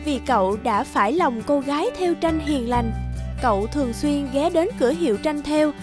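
A woman's voice: high voice, lively intonation, normal speed. A woman reading scripted narration for a children's fairy-tale cartoon. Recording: music behind the voice.

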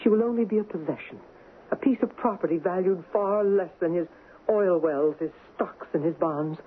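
An actress playing a gentle, resigned middle-aged mother, genteel and confiding, in a 1970s radio drama. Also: fairly low pitch, moderate pace, lightly acted. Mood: sorrowful, warning. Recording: muffled, noisy 1970s radio broadcast.

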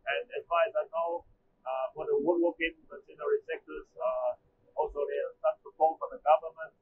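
A man's voice: fairly high pitch, steady tone, fairly quick. A man, giving a testimonial at a trade show booth with hesitant, filler-heavy speech on a lapel mic. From Taiwan. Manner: hesitant, frequent "uh" fillers, non-native phrasing. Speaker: machinery company manager. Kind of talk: testimonial interview answers. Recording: phone-like narrow band, faint noise.

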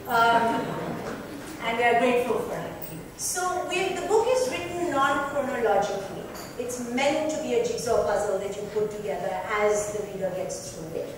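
A woman giving an informal, emphatic, chatty introduction to a book for an audience. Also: sits high. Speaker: author introducing her book at a reading. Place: club lounge with seated panel, indoors. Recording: echoey room, distant mic, clear noise.

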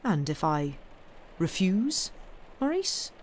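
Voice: medium pitch, sing-song, takes it slowly, a little hiss or hum. A narrator playing a timid, browbeaten man in an audiobook, mumbling and hesitant, as if dazed. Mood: timid, wary. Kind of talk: dramatic audiobook character voice.

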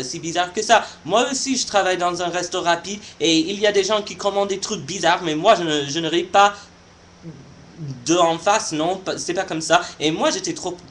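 Young man, French, shy halting vlogger, slightly high pitch. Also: fairly bright tone, a little hiss or hum. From United States. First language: not French. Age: young adult. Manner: halting, searching for words, shy. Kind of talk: casual vlog monologue.

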